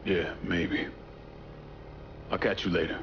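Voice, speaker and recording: A man playing a grim, stoic young war veteran turned crime boss in a video game, speaking tersely and low-key. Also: steady tone, takes it slowly, lo-fi sound, noisy background. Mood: guarded. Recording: music behind the voice.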